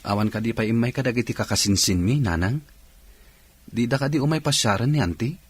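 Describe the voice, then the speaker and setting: A man playing a respectful, concerned student son with a Filipino accent and a husky voice, in a radio drama. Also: medium pitch, brisk, natural voice. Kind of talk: Ilocano radio drama acting.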